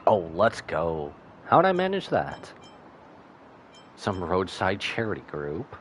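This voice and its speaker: A man's voice: sits low, wildly swooping pitch, slow pace, a bit bright, a little hiss or hum. A man giving casual, wry live commentary as he streams a game, joking and reading bits aloud.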